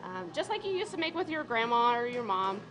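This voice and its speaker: A woman's voice: on the high side, sing-song, a little fast, fairly bright tone, a little hiss or hum. A woman baker in a bakery kitchen explaining how cookies are made, friendly and explanatory. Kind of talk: explaining baking on live TV.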